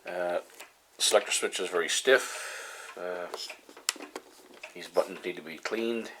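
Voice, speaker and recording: Really low voiced guy doing a casual repair video, stops and starts mid sentence, noisy with some clicking. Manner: halting, pausing often mid-sentence. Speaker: hobbyist host of a repair video series. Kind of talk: casual hands-on demonstration.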